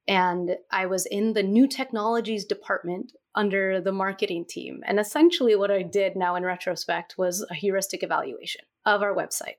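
A young woman from Turkey, an experience designer, chatting eagerly and laughing easily as a guest co-host in a casual interview. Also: mid-range voice.

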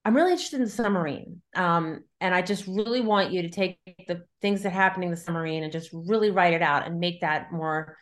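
A woman's voice: on the low side, fairly quick, few pauses. A middle-aged woman talking casually as an interviewed novelist, thinking aloud in loose, looping sentences. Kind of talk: casual conversational interview about writing.

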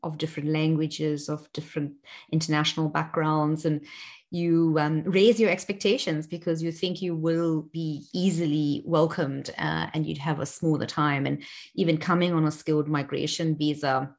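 A woman from South Africa in her forties shares her story in a measured, reflective way. Her voice sits notably low, and she often pauses with fillers and restarts sentences as she searches for words. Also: flat delivery. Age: 40s. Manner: thoughtful, many fillers and restarts. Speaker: interview guest. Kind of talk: reflective personal interview.

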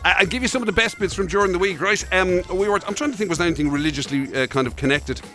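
An Irish man chatting casually as a radio co-host. His voice sits a little on the high side for a man, with music around the talk. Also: racing, sharp tone.

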